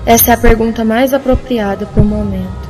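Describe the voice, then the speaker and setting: A sensible, polite time lady played by a woman in an audio drama. Her voice sits fairly high, with soft music behind it. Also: steady tone, brisk, lightly acted. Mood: curious, polite. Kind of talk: Brazilian audio drama acting.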